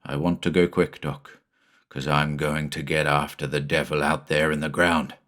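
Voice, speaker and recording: A man voicing a grieving farmer father set on vengeance, speaking low, quiet and hard. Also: level tone, normal speed. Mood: grim.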